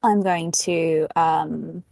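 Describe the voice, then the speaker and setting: A woman's voice: deep voice, fairly even intonation, drawn-out words, creaky, a little mellow, no echo. A woman talking casually as a player in a tabletop roleplaying game.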